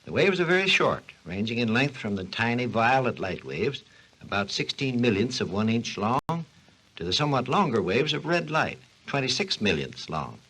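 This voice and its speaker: A man's voice: mid-range voice, big pitch swings, moderate pace, a bit bright, poor audio. A man playing a learned, patient scientist who explains history in a scripted 1940s educational radio program. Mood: explanatory. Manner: didactic, storytelling delivery. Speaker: expert guest on a science program. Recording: husky-sounding 1940s radio recording.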